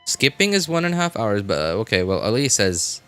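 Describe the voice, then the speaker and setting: A man's voice: fairly low pitch, sing-song, a little fast, pushed and bright, soft background music. A man live-streaming a game with casual commentary, chatting to viewers in a rambling way, often repeating and rephrasing himself.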